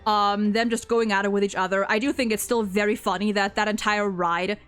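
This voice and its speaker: A woman's voice: mid-range voice, flat delivery, a little fast, fairly bright tone, clean tone. A young woman in casual fan discussion of a game story, talking in an enthusiastic, informal way peppered with "like". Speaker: video game streamer and discussion host. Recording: music playing behind the voice. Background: music.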